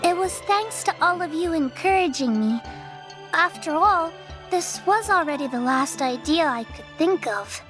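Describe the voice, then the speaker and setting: A woman playing a frail, timid young girl in a video game, earnest and hesitant, speaking softly. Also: extremely high pitch, expressive, a little slow, heavily acted. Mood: sad, fearful. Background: music.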